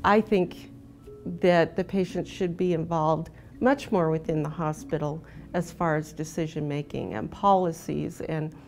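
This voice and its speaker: A woman speaks in a documentary interview with a slightly low voice. Faint music plays behind her. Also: fairly even intonation, a little slow, darkish tone.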